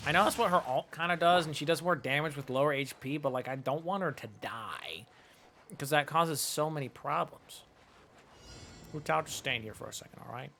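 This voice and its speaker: A man's voice: fairly high pitch, normal speed, creaky, sharp tone, slight background noise. A man giving casual, chatty, playful commentary while live streaming a video game. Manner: chatty, playful, muttering nonsense syllables.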